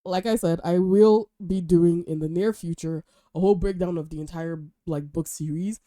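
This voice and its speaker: A young woman hosting a casual book review vlog, chatty and animated with slang, sounding a little nasal from a cold. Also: lowish voice, normal speed, no room sound, studio quality. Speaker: host.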